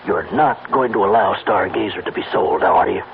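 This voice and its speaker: An actor playing a grave, paternal country judge, measured and admonishing, in an old-time radio drama. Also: male voice, on the low side, gravelly. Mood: stern. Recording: tinny, noisy old radio recording.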